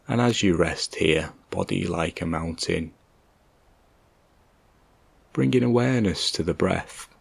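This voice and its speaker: A man leading a guided meditation in a gentle, calm, measured way, leaving long pauses between short instructions. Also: low-pitched, gravelly, husky, no room sound.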